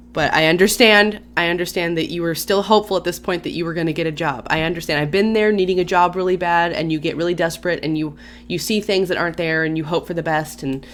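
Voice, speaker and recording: A woman hosting her own channel, reading a story aloud and breaking off for casual, chatty asides with sighs and small laughs. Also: sits low. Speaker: YouTube channel host.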